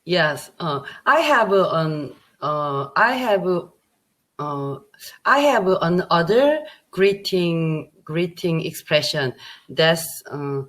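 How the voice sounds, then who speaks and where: A woman, a low voice, and a very slow pace, practicing English in a lesson. She talks haltingly and often restarts while she searches for words. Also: animated, fairly bright tone. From South Korea. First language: Korean. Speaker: English learner. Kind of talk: conversation lesson.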